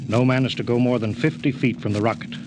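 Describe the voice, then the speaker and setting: A man's voice: mid-range voice, fairly even intonation, fast talker, natural voice. A man playing a stern, cautious spaceship captain in 1950s radio drama, clipped and commanding, on a noisy vintage broadcast. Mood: stern, firm.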